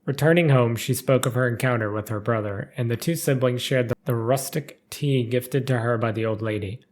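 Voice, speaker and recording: A man's voice: mid-range voice, moderate pace, darkish tone. A man reading a scripted story aloud as narrator, with formal, careful delivery.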